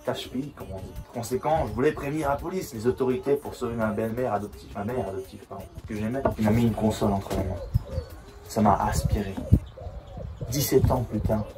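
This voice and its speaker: A man's voice: lowish voice, brisk, natural voice. A man playing a self-made millionaire self-help YouTuber with a tragic past, telling it earnestly in an improvised spoof interview. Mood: mock-tragic. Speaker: guest being interviewed in a spoof talk show. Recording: music loud behind the voice. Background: music.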